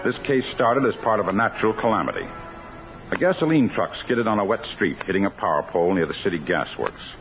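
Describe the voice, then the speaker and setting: Solemn low-voiced American district attorney, old radio. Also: male voice, very animated, fast. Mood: grave. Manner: solemn, declamatory. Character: resolute male district attorney, grave crime-story narrator. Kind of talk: 1950s radio drama acting, scripted narration. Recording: muffled vintage radio recording, loud music behind.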